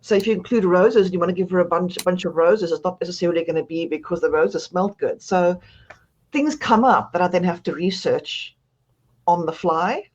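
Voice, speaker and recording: A woman with a South African accent talks through a radio interview as an author guest. She is chatty and laughs at herself often, with a somewhat low voice. The sound is muffled and lo-fi. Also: a little fast.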